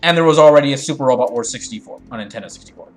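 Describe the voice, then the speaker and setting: A man's voice: medium pitch, rapid-fire, faint music behind. A man hosting a livestream, chatting casually with viewers and explaining things in a rambling way, often restarting his sentences. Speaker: streamer and host of a live fandub. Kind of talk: casual livestream commentary, chatting with viewers and reading game dialogue.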